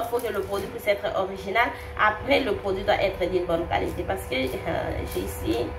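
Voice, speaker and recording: A young woman with a cold, chatting through a makeup tutorial and often repeating herself. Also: mid-range voice. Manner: chatty, repeats words, many fillers. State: has a cold. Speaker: beauty vlogger. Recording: roomy, distant, noisy home recording.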